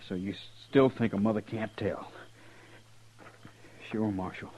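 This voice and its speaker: Male actor as dying young Western outlaw: weak, halting drawl, slow delivery, bitter resignation, noisy vintage radio sound. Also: fairly low pitch, steady tone, a little mellow, lightly acted. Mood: weary, resigned. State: weak and labored from a gunshot wound. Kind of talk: old-time radio Western acting. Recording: muffled, noisy old radio recording.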